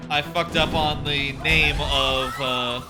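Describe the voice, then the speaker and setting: A man's voice: a little high, fairly even intonation, takes it slowly. A man streaming a game with casual, rambling, wry playthrough commentary. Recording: game music behind voice.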